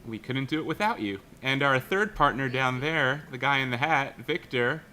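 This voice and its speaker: Bright-voiced male live-stream host. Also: medium pitch, lively intonation, moderate pace, a little hiss or hum. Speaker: host, show producer.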